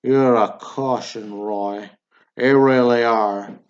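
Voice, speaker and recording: An actor playing a meek, easily offended small-town store owner, earnest and defensive in an amateur radio play. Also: male voice, medium pitch, steady tone, takes it slowly, close to an everyday voice. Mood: stiff, defensive. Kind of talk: amateur radio drama acting. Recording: dull lo-fi radio drama recording.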